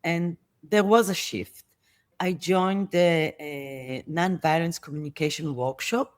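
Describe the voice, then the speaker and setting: A middle-aged woman whose first language is Hebrew, a therapist guest telling a personal story in English, often pausing and restarting as she searches for words. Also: low voice. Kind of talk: interview answers, personal story.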